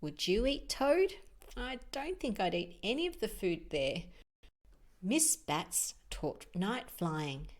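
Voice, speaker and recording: Female storyteller for preschool picture books: slow delivery, lively intonation, chatty explanatory asides and questions to the child. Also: mid-range voice. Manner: expressive, explains pictures, puts questions to young listeners.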